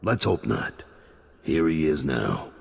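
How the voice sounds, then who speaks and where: A man's voice: a bass voice, big pitch swings, slow, creaky, husky, in a large hall, heavily acted. A man voicing a blunt, wary middle-aged crime lieutenant in a video game cut-scene. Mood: cautioning. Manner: blunt, warning.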